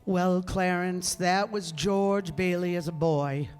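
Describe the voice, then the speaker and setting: A performer playing a calm, authoritative and kindly senior angel in a radio drama, patiently giving instructions. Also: mid-range voice, takes it slowly, clean tone, faint music behind, lightly acted. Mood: grave, explanatory. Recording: echoey hall, long reverb.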